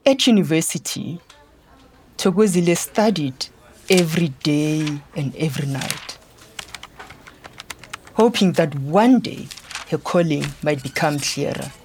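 Low-voiced woman telling a children's story. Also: animated, a little slow, pauses often, darkish tone, slight background noise. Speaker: podcast narrator. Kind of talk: scripted children's storytelling narration. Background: music.

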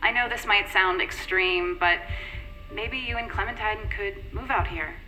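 Polished company agent lady, bright voice, soothing and persuasive, audio drama, faint music. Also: medium pitch, unhurried, rough recording. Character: smooth, reassuring, persuasive female corporate agent. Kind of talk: naturalistic audio drama acting.

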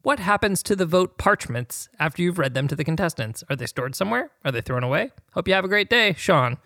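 Creaky-voiced American man, podcast co-host. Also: high voice, lively intonation, brisk, bright, forceful tone, no echo, studio quality. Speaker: podcast co-host reading listener questions. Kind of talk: podcast talk and reading aloud.

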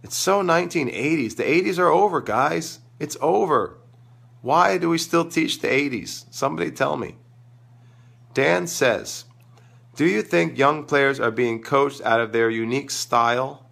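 A man's voice: a little high, measured pace, fairly bright tone. Crackly-voiced man, casual tennis coach. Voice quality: crackly, gravelly. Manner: emphatic, opinionated, informal. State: losing his voice, sore throat. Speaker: tennis coach hosting a live online show. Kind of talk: casual live-stream talk, answering viewer questions.

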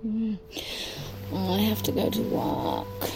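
Romantic drama role: tender young female lover, soft creaky murmur, music behind. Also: mid-range voice, wildly swooping pitch, slow pace, heavily acted. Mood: tender, drowsy. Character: tender young woman, drowsy affectionate new lover. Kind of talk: intimate audio drama acting.

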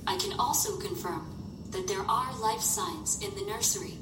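A woman's voice: lively intonation, normal speed, natural voice. Echoey, clinical female ship computer, played by an actress. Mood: impassive, clinical. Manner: formal, clinical, procedural. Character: impassive female medical computer on a colony spaceship. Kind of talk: audio drama acting. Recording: distant, echoey, noisy with rumble.

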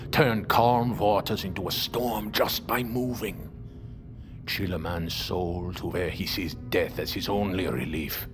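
Older male sailor: ominous, fervent storyteller, unhurried delivery, audio fiction with prominent score. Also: sits low. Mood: dark, foreboding. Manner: slow, brooding, theatrical storytelling that swells to intense warnings. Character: grizzled old seafarer, ominous and fervent believer in monsters. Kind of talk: audio fiction drama acting. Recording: dramatic music behind the voice.

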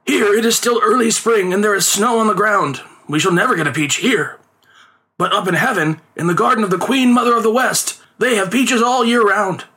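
A man plays a wily old street magician from a storybook, a melodramatic father who complains and pleads. The voice is pitched high, swooping and bright, well above an everyday register. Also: lively intonation, fairly quick. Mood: boastful, urging. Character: theatrical aging male street conjurer, wily, lamenting father. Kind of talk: storybook character voice.

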